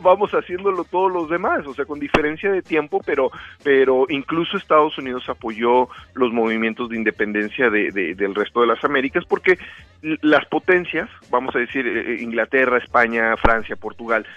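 A man's voice: medium pitch, fairly quick, a bit bright, no room sound. A man talking casually as an interview guest, explaining things and often voicing imagined dialogue. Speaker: interview guest, author and researcher. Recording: dull narrow-band dry sound, music behind.

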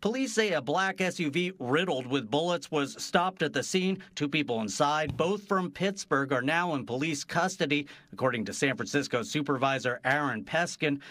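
A man reporting for TV news in a measured, scripted delivery. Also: on the high side, animated, fairly bright tone, slight background noise.

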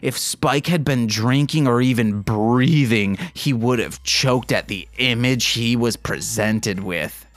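Male podcast host, unhurried, mid-pitched, mock-sultry comic reading with self-mocking asides. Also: no room sound. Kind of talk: comedic read-aloud of fan fiction with asides.

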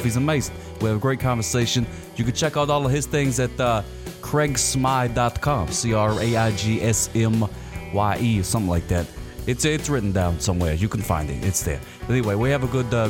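A man hosting a podcast in a casual, rambling, jokey way, with plenty of fillers and restarts. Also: medium pitch. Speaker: podcast host. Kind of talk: casual chat.

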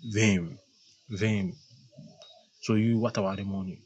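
A man's voice: lowish voice, fairly even intonation, slow pace, darkish tone, dull audio, a little hiss or hum. A man acting a menacing gang leader in an audio play, with a Ghanaian accent. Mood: businesslike. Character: menacing young street thug, leader of a gang. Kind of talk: Pidgin street-talk dialogue in an audio play.

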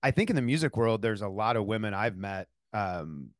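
A man's voice: lowish voice, expressive, a little fast, no room sound, studio quality. A man interviewing a guest, asking questions in a careful, hesitant way with many fillers and restarts. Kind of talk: casual interview conversation.

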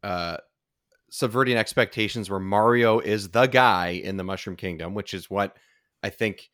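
A man's voice: fairly low pitch, normal speed, a bit bright, no echo, studio quality. A man hosting a podcast in casual chat, conversational and checking in with the listener. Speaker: podcast host.

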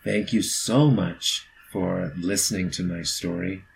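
A man speaking as a guest author, introducing his own story in a measured, somewhat hesitant way. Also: low-pitched, steady tone, vocal fry, slight background noise, poor audio. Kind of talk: introducing and thanking, semi-prepared talk.

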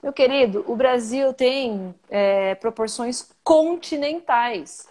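An outspoken Brazilian woman, a coffee journalist, tells stories with animated energy. Her voice sits slightly high, and she comes through a live video call. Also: takes it slowly. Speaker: guest, coffee journalist and podcaster. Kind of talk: casual interview chat.

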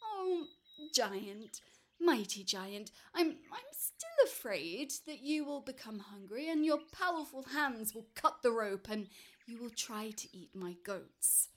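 A woman voicing a tiny, timid but crafty male farmer in a storybook tale, with a high trembling voice and hesitant, stammering pleas. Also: expressive, slow, a little hiss or hum. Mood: nervous, pleading.

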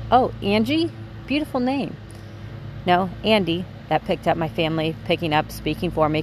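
A woman in her twenties hosting her own podcast, talking in a candid, chatty way in a soft, quiet voice. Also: lowish voice, slight background noise, rough recording. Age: 20s. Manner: chatty and candid, with light swearing. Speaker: podcast host. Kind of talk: casual solo podcast monologue.

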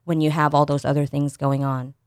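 Low-voiced calm woman co-host. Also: fairly quick, clean tone, no echo, studio quality. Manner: calm, affirming, frequent agreement. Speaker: co-host, therapist. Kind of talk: casual chat.

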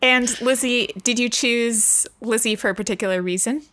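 A woman's voice: on the high side, normal speed, fairly bright tone. A woman hosting a podcast, moving between scripted introduction and friendly discussion. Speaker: podcast host.

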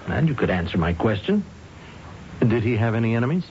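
Actor as probing police lieutenant, muffled radio recording. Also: male voice, mid-range voice, big pitch swings, a little mellow, a little hiss or hum, natural voice. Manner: hesitant, polite, methodical questioning with ums and pauses. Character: unhurried, probing male police lieutenant questioning a suspect. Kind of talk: old-time radio drama acting.

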